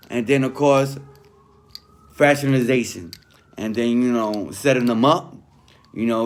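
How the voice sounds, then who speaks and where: A man with a mid-pitched voice reads aloud and breaks off to comment in his own words. His delivery is informal and full of street slang, with frequent little check-in phrases aimed at the listener. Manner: street-slang, conversational, peppered with "you know what I mean". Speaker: online commentator reviewing a written statement.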